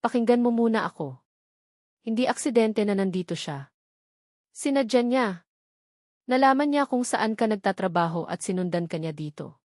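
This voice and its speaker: A woman's voice: fairly high pitch, animated, moderate pace, long pauses, a little mellow, no echo, studio quality, lightly acted. A woman voicing a careful, pitying female coworker who delivers painful warnings in an audio drama. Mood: grave, warning. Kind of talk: Tagalog audio drama dialogue.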